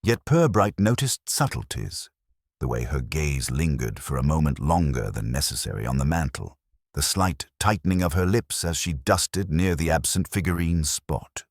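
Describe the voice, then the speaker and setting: Male narrator for scripted mystery fiction: very deep, expressive pitch movement, formal and measured, close dry sound. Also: no room sound.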